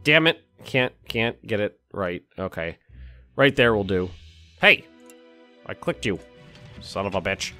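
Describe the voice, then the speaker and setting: A man with a slightly hoarse voice, casually commenting while he plays a city-building game, thinking aloud and cursing now and then. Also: on the low side, animated, unhurried, pauses often, bright, forceful tone, soft background music. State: a little hoarse. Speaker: let's play host.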